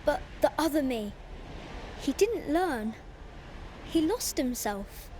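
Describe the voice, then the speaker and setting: A woman's voice: high-pitched, very animated, slow pace, exaggerated voice. A woman voicing a lost, grieving young boy in a video game, earnest and plaintive. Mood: sad, troubled. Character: grieving, lost young boy who leaps through time. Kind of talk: dramatic video game acting. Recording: noisy ambience behind the voice. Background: loud ambient noise.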